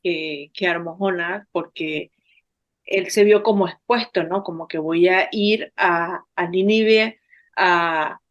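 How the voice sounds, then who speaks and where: Low-voiced Venezuelan woman on lo-fi line. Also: fairly even intonation, slow pace. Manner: hesitant, many filler words. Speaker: podcast co-host. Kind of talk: casual conversational talk. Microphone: remote call.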